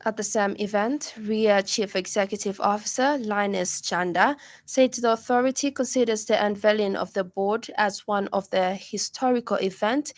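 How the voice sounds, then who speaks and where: A woman with a Zambian accent presents a radio news bulletin. Her pitch sits in the middle range, and she reads formally from a script. The studio sound is dry and free of echo.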